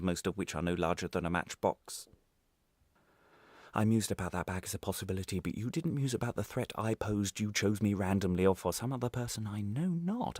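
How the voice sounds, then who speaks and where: Male actor as vengeful ex-prisoner for radio drama: low register, deliberate menace, theatrical, close dry sound. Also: no room sound, close to an everyday voice. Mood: quietly menacing. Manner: deliberate, menacing, theatrical, swinging from hushed to agitated. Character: embittered, vengeful former prisoner menacing his captor with a bomb. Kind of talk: dramatic radio play monologue.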